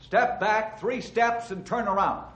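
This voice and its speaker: An actor playing an earnest, forceful ranger in old-time radio drama style, insistent and declamatory. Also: male voice, sits high, unhurried, husky, full character voice. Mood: commanding. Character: earnest forceful male ranger, urging and commanding.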